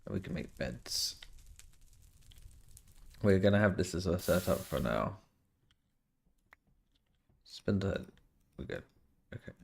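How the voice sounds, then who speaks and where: A man's voice: sits low, expressive, slow, creaky, long pauses, husky. A man streaming a survival game, chatting to viewers and thinking aloud as he plays, sounding a bit nasal and sniffly from a cold. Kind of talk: casual gameplay commentary.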